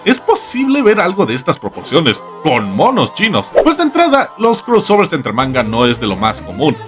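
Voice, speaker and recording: Male video essay host, wry and theatrical, very lively intonation, faint music underneath. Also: on the high side, moderate pace. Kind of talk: scripted comedic video essay narration. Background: background music.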